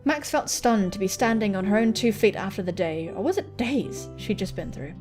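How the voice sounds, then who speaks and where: A woman hosting a gaming video, reading a visual novel aloud and adding casual, irreverent, expressive commentary. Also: medium pitch, moderate pace. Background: game music.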